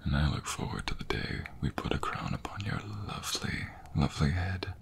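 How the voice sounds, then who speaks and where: A man playing a devoted king in a romantic fantasy ASMR roleplay, speaking tenderly in a breathy, gravelly murmur with formal, courtly phrasing. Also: rumbling low, animated, a little fast, heavily acted. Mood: tender, loving. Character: devoted young king secretly in love with a commoner.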